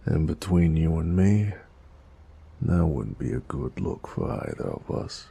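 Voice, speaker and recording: Man acting as big noir gangster, very slow drawl, gravelly, affectionate, wisecracking, weary, intimate audio drama. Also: warm tone, full character voice. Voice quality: deep, gravelly, breathy. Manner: slangy, drawling, sighing, teasing.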